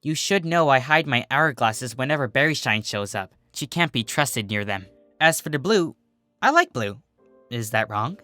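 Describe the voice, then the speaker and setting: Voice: mid-range voice, lively intonation, moderate pace, sharp tone, dry recording, faint music behind. A performer voicing a nervous, exasperated young female cartoon unicorn who is easily flustered and scolding.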